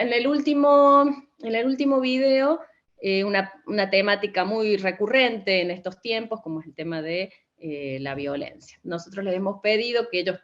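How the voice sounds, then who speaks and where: A woman with a fairly low voice presents like a teacher at an online conference. She explains in a halting way and often seeks agreement. The sound is somewhat dull, as from a video call.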